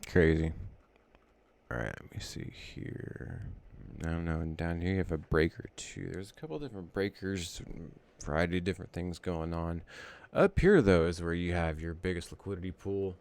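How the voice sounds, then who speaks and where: A man's voice: sits low, animated, normal speed, a little mellow. A man casually thinking aloud as he walks through trading charts, rambling and correcting himself as he goes. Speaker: trading educator.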